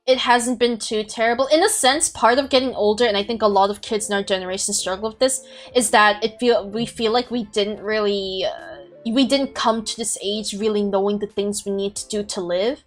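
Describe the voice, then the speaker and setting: A woman's voice: mid-range voice, brisk. A woman in her twenties hosting a podcast, talking in a rambling, reflective way with many fillers and restarts. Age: 20s. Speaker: podcast host. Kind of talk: casual chat on a group call.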